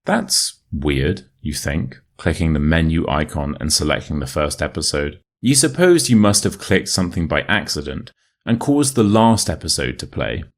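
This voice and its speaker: A man with a modern RP British accent reading a short story as an English teacher, in a measured, clearly enunciated way. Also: extremely low pitch, big pitch swings. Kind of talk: scripted story narration for listening practice.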